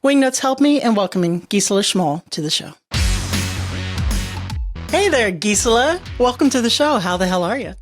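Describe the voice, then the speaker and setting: A woman's voice: a little high, expressive, moderate pace, pauses often, over music. A woman from Florida hosting a podcast interview, upbeat and playful in loose casual chat. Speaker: podcast host.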